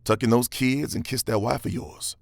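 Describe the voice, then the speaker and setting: Gravelly, cocky Western gunslinger played by a man. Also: mid-range voice, steady tone, noisy background, lightly acted. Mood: smug, needling. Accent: American Southern. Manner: slow taunting drawl, theatrical. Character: cocky drawling Western gunslinger, dangerous, darkly playful. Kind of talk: Western audio drama acting.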